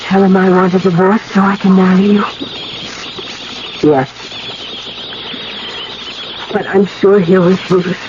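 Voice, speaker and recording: A woman's voice: medium pitch, flat delivery, slow, long pauses, close to an everyday voice. A dull, very noisy vintage radio recording of a woman's mid-range voice. An actress plays an anxious married woman with a secret love, earnest and dramatic in the manner of 1970s radio drama. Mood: resigned. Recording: muffled, very noisy old radio broadcast.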